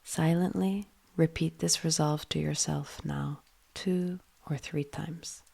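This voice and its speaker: Slow, low-pitched woman guiding deep relaxation. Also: steady tone. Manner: soothing, hushed, breathy phrase endings. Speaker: yoga nidra guide. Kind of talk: guided relaxation meditation.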